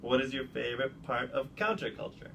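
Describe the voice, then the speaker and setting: A man hosting a casual interview, friendly and quick to laugh, putting questions to his guest. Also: mid-range voice, lively intonation, vocal fry, noisy background. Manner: friendly, laughs easily, offers short comments. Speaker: interview host.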